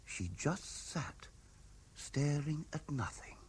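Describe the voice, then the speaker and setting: An actor with a British accent playing a troubled, earnest middle-aged husband in a 1970s radio drama, hesitant but insistent. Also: male voice, on the high side, animated, slow, slight background noise. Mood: troubled, recalling.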